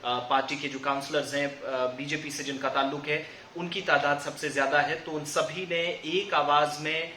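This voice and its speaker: Guy reading out news, sharp bright voice, pretty even tone, echoey room w a bit of noise. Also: on the high side, moderate pace. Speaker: news presenter. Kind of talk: news reporting, semi-improvised explainer.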